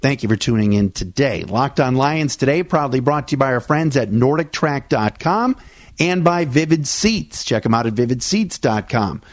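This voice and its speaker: A man talks through a solo sports podcast. His voice sits in the middle range and sounds dry and close to the mic. He is easygoing and chatty, often pausing on "uh" while his voice recovers from surgery. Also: mid-range voice, lively intonation, no echo. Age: 40s. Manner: chatty, conversational, with frequent "uh" fillers. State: recovering from vocal cord surgery. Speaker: podcast host.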